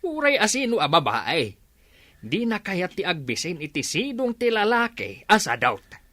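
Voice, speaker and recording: A man's voice: sits high, wildly swooping pitch, normal speed, pushed and bright, no echo, heavily acted. A man playing an exasperated older village father in a comedy radio drama, nagging emphatically. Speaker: actor.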